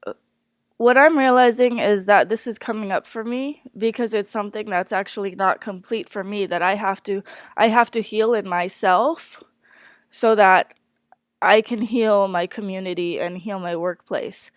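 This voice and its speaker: A woman's voice: medium pitch, fairly even intonation, moderate pace, dry recording, studio quality. Congested woman talking through work troubles. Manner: hesitant, many fillers and restarts. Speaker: speaker sharing a personal work situation. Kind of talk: candid personal monologue.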